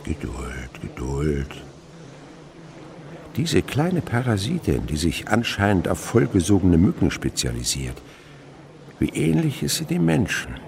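Gravelly, animated older insect researcher. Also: male voice, deep voice, measured pace, a little hiss or hum, exaggerated voice. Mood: gentle, musing. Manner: scholarly, lyrical, patiently instructive. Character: ageing French insect researcher, uncle and mentor, dreamily enthusiastic. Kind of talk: German radio play acting.

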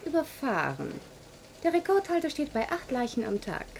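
High-voiced breezy secretary. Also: female voice, very animated, moderate pace, gravelly, a little mellow, full character voice. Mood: nonchalant. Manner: flippant, matter-of-fact. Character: breezy, cheeky, modish office secretary. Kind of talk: German science-fiction radio play acting. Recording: vintage radio recording, faint noise.

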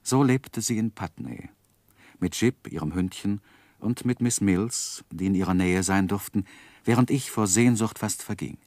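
An actor in a German radio play playing a reflective gentleman who narrates his own life in measured, literary phrases. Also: lowish voice, dry recording, lightly acted. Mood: melancholy, reflective. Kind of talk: scripted first-person narration in 1960s German radio drama.

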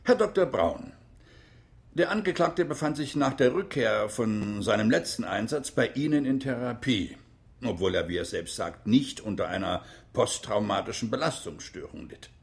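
An actor playing a formal, measured court examiner probing a witness in a radio drama. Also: male voice, mid-range voice, lively intonation, creaky. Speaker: courtroom questioner.

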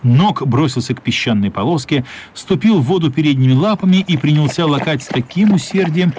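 A man's voice: on the high side, expressive, brisk, darkish tone, breathy edge. A man narrating a radio play in a scripted storytelling style.

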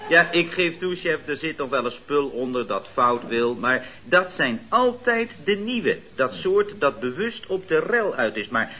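A man's voice: a little high, sing-song, measured pace, hardly any pauses, bright, forceful tone, heavily acted. An actor playing an eager, righteous and naive employee in a radio comedy, earnest, emphatic and deferential to his boss. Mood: self-satisfied. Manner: earnest, emphatic, keeps saying "chef".

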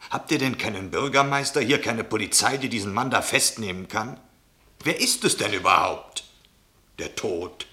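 A man's voice: lowish voice, moderate pace, pushed and bright. A man acting in a radio play as a down-to-earth sceptical outsider, questioning and incredulous.

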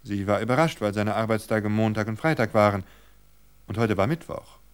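A man with a fairly low voice narrates a literary story for radio. His delivery is scripted storytelling, read as a narrator. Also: fairly quick, clean tone.

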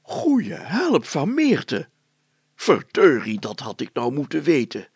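A man's voice: a little high, huge pitch swings, takes it slowly, breathy edge, no echo, voice pushed up, full character voice. A man voicing a pompous, blustering older village mayor who repeats himself and exclaims in a children's story. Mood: flustered, pompous.

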